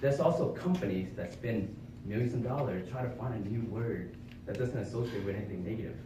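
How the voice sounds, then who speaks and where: A man's voice: lowish voice, brisk, recorded from a distance, background noise. Man giving a talk in an echoey room. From born in Vietnam, raised in Florida. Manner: earnest, explanatory, with rhetorical questions. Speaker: club speaker. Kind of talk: prepared public speech. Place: meeting room with small audience.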